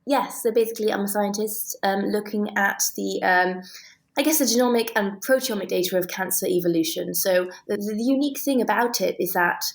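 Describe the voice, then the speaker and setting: A woman's voice: medium pitch, fairly quick, vocal fry. A woman with a British accent explaining technical detail conversationally and with enthusiasm, as an interview guest. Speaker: guest, scientist and author.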